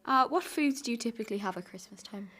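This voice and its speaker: A woman's voice: a little high, animated, fast, a bit bright. A teenage young woman hosting an interview show with prepared questions. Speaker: show host.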